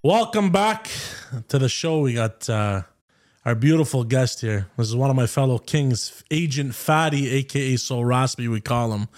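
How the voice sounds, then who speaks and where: A man from Canada hosting a podcast, upbeat and hyping up his guest with quick questions. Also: mid-range voice, lively intonation. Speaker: podcast host. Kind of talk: casual interview chat.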